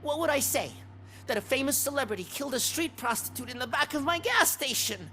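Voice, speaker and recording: Defensive, higher-voiced gas station keeper. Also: female voice, expressive, fairly quick, bright, forceful tone, soft background music. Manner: defensive, sardonic. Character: defensive, cynical female gas station keeper hiding a crime. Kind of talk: video game cutscene acting.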